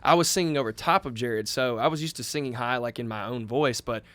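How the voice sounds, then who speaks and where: Young man, band member guest, rambling storyteller, smooth voice, dry recording. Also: mid-range voice, a little fast, fairly bright tone, no room sound. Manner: rambling storyteller with many fillers and restarts. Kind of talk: casual podcast interview.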